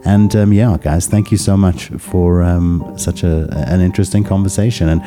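A man hosting a podcast interview, talking haltingly and searching for words, then closing the show. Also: low-pitched, fairly even intonation, music in the background. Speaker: podcast host.

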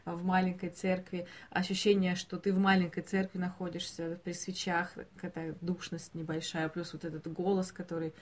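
Female podcast host, lower register, unscripted and hesitant, discussion style. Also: little pitch movement, moderate pace, few pauses. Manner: hesitant, searching for words.

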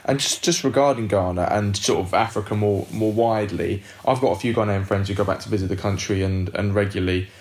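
Male podcast host, deep voice, careful long questions, echoey room. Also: fast, few pauses. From United Kingdom. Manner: attentive, frequent "mm" backchannels, long framed questions. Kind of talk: interview questions.

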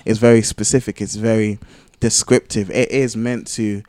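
A man with a fairly low voice talks casually as a podcast co-host. He rambles through his points and gets animated when debating. The sound is dry, with very little room in it. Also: normal speed, no echo. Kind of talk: casual chat.

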